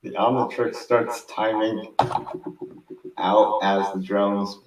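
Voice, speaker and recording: A man giving halting amateur narration of a script at a table read. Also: lowish voice, level tone, darkish tone. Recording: roomy, distant mic with faint noise.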